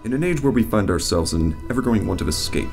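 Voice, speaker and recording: A man's voice: lowish voice, expressive, fast, creaky, a little mellow, soft background music. A man reading a solemn, dramatic scripted narration and the closing credits.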